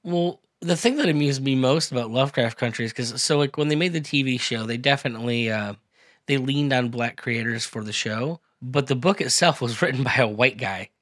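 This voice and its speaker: A man's voice: medium pitch, a little fast, a bit bright, no room sound, studio quality. A man hosting a casual podcast conversation, curious and thoughtful, asking questions and musing. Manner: curious, thoughtful, with frequent affirmations.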